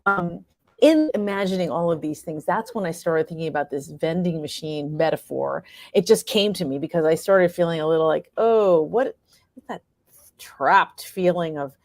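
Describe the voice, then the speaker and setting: A woman's voice: fairly low pitch, moderate pace, a little mellow, dry recording, studio quality. A middle-aged American woman talking reflectively as an interview guest, animatedly acting out her own thoughts as she tells stories. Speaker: guest, playwright and actor.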